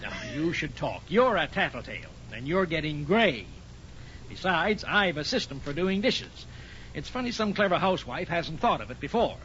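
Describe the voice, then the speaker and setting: An actor playing a defensive, grumbling middle-aged husband in a 1940s radio comedy, hemming and hawing with exasperation. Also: male voice, sing-song, moderate pace, a bit bright, slight background noise. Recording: muffled, rumbling 1940s radio recording.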